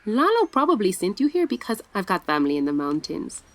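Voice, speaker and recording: A proud makeup artist. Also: female voice, medium pitch, sing-song, a little fast. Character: Jemida, warm Puerto Rican makeup artist.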